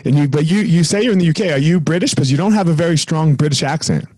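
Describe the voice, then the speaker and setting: Energetic slangy podcast host man. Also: a little high, fast, no room sound, poor audio. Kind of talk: casual podcast chat.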